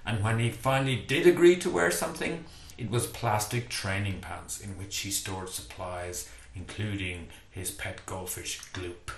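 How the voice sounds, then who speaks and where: Playful Irish man reading aloud, low voice. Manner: playful, self-deprecating, lively storyteller. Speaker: author reading his book. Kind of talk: reading a book passage aloud. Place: home study with bookshelves, indoors. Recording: echoey room.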